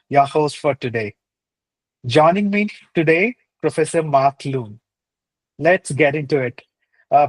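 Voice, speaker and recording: A man hosts an interview series, his voice pitched a little high. He reads an introduction and poses questions in an upbeat, lightly scripted way, sprinkling in fillers and tag questions. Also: rough recording. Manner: upbeat, uses fillers like "uh" and "right?". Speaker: podcast host.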